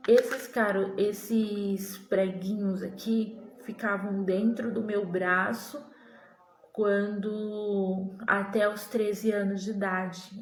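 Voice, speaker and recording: A young woman giving a slow, earnest personal religious testimony to the camera, halting and restarting as she recalls things. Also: medium pitch, darkish tone, echoey room. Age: young adult.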